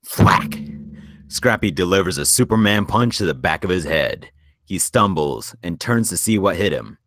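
A man's voice: low-pitched, animated, moderate pace, a bit bright, no echo, studio quality. A man reading screenplay stage directions as scripted narration for a table read.

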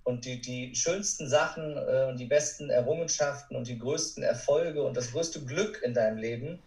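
A man's voice: mid-range voice, moderate pace, a little mellow. Man in a roomy, echoey recording. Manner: emphatic, informal, direct address to the listener. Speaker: podcast guest, courage coach. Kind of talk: conversational motivational talk. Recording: roomy echo, distant from mic.

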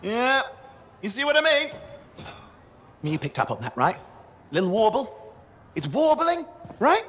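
An actor playing a restless, eager young sidekick in a sci-fi video game, chatty and fussy. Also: male voice, extremely high pitch, huge pitch swings, unhurried, a bit bright, slight background noise, exaggerated voice. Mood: insistent. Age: young adult. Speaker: cipher who assists a pilot.

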